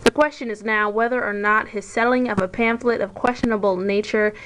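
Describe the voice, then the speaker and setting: A young woman playing a formal, brisk judge in an amateur puppet show. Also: medium pitch, fairly even intonation, fairly bright tone, smooth, lightly acted, low-quality recording. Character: formal, brisk court judge presiding over case.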